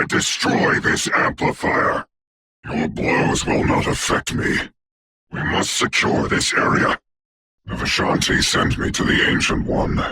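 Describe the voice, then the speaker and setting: A man playing a grave, commanding dark sorcerer for a video game, with a breathy rasp, formal grandiose diction and eerie distorted processing. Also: fairly high pitch, noisy background, full character voice. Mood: grim, imperious, then solemn. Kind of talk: video game hero voice lines.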